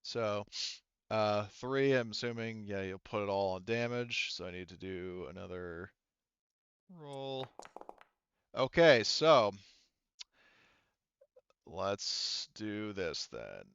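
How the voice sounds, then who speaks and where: A man narrating a tabletop sci-fi game as game master, conversational and halting, repeating words, on a headset. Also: lowish voice, expressive, fairly bright tone, smooth, no room sound. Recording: dull close headset sound.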